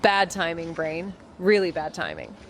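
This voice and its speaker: A woman's voice: on the low side, huge pitch swings, measured pace, slight background noise. An actress playing a fierce, sarcastic young detective in a noir audio drama, blunt and rambling as she tells her story. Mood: anxious, rambling. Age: young adult. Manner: sardonic, blunt, rambling, self-interrupting. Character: Amy, fierce sarcastic foul-mouthed young female detective. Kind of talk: first-person noir audio drama narration.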